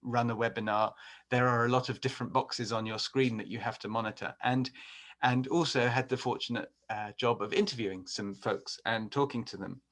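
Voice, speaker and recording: A man's voice: medium pitch, fairly even intonation, brisk, a bit bright. Middle-aged English man, podcast host, chatty webinar presenter, frequent ums and restarts, video call mic. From England. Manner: conversational, frequent fillers and restarts.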